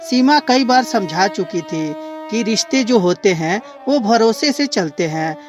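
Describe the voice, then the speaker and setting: A woman's voice: medium pitch, animated, a little slow. A woman storyteller reading a scripted moral tale as narrator. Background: background music.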